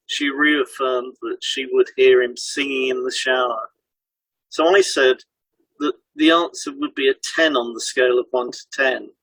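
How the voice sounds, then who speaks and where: A man presents instructional material as a podcast co-host, reading from a script. His voice is husky and mid-pitched. The sound is dry, with very little room around it. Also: a bit bright, no echo, low-quality recording.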